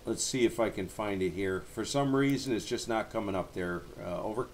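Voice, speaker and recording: A man's voice: fairly low pitch, a little fast, a little mellow, slight background noise. A man chatting casually over ham radio as an operator and streamer, in a laid-back, slangy way.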